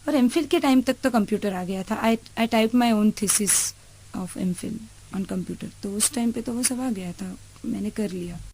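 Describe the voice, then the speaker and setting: A woman's voice: mid-range voice, a little slow, vocal fry, noisy background. An Indian woman answering interview questions reflectively, switching between two languages as she speaks. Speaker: interview guest, teacher. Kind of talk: reflective interview answers mixing Hindi and English.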